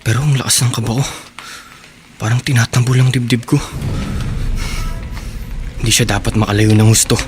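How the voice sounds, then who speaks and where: An actor playing a nervous, desperate man plotting as he follows someone, in a hurried radio drama monologue. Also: medium pitch, steady tone, natural voice. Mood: nervous, scheming. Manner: tense hurried inner monologue. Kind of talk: Filipino radio drama acting. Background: music.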